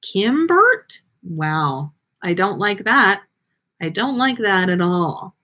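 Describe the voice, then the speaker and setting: Woman podcast host reading fan stories out loud, super expressive, playful, voice goes all over. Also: medium pitch, takes it slowly. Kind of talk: animated story reading with casual chat.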